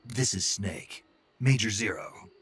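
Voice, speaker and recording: An actor playing a gruff, terse special forces soldier with an American accent and a gravelly, raspy voice in a video game. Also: male voice, fairly low pitch, takes it slowly, a bit bright, full character voice. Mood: grim, terse. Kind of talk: dramatic video game cutscene acting.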